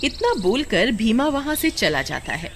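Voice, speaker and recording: A woman's voice: fairly high pitch, very animated, unhurried, noisy background. A woman narrating a scripted story as a storyteller. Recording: loud music under the voice.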